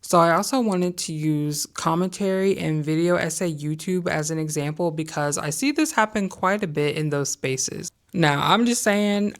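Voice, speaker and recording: A young woman talking candidly to camera in a conversational vlog, sharing opinions with frequent hedges. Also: low-pitched. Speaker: vlogger. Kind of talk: casual talking-head vlog.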